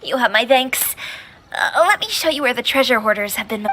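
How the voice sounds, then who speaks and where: A high-pitched, courteous adult woman from an anime game, played by an actress. She sounds like an earnest, troubled restaurant worker. The recording has clear noise behind her. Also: lively intonation, brisk, close to an everyday voice. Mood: polite, concerned.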